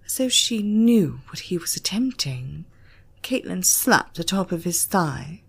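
A British woman narrates fiction as a dramatic audiobook reader. Her delivery is slow and deliberate, and her pitch moves widely through the sentences. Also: sing-song, gravelly, husky.

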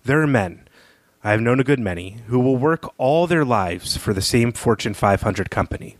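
A man co-hosting a literary podcast, speaking in a measured, analytical way. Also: medium pitch, expressive, dry recording. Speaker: podcast co-host. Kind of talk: literary discussion, partly reading aloud.

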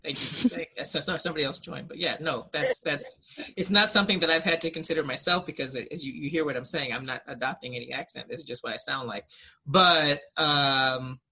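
A man's voice: on the high side, fairly quick, sharp tone, slightly rough, poor audio. A man on a video call in a class discussion, speaking thoughtfully with frequent fillers and restarts. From United States. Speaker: student in a linguistics discussion.

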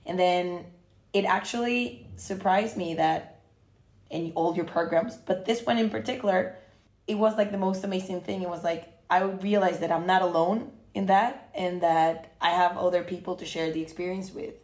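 A woman's voice: fairly low pitch, steady tone, normal speed, darkish tone. Hesitant woman from Costa Rica, roomy sound. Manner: hesitant, many fillers and restarts, searching for words. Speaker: podcast guest. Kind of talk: casual chat. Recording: roomy echo.

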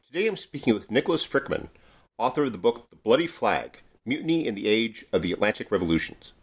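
A man hosting a podcast interview, putting his questions in a measured, deliberate way with some stumbles. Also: on the low side, big pitch swings, breathy edge. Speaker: podcast host.

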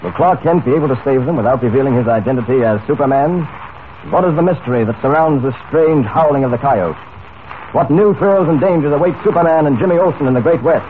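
A man's voice: mid-range voice, fast. A man announcing in a suspenseful, emphatic 1940s radio serial narration style. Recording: tinny 1940s radio recording with hiss.

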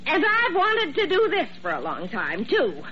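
An actress playing a flustered, plucky nurse with an American accent and an excitable, pleading manner, in old radio drama style. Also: female voice, high-pitched, moderate pace, a little hiss or hum. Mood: indignant, pleading. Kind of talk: 1950s radio drama acting. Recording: dull old radio recording.

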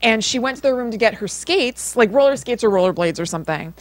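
A woman's voice: on the high side, expressive, fast talker. A woman co-hosting a podcast, telling stories in a casual, rambling way with wry, playful teasing. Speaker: podcast co-host. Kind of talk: casual chatty storytelling.

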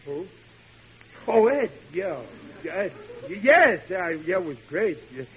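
An actor playing a bumbling, eager husband who stammers when flustered, in old-time radio sitcom style. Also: male voice, high-pitched, big pitch swings, measured pace. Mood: guilty, stammering. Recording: muffled, hissy vintage radio broadcast.

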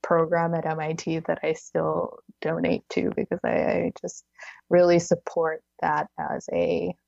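A woman's voice: low-pitched, completely flat delivery, measured pace, gravelly, a little mellow, no room sound, dull audio. A woman in her thirties chatting casually as an interview guest, filling her talk with "like" and frequent little laughs. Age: 30s. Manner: chatty, many "like"s, laughs between phrases. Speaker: podcast guest.

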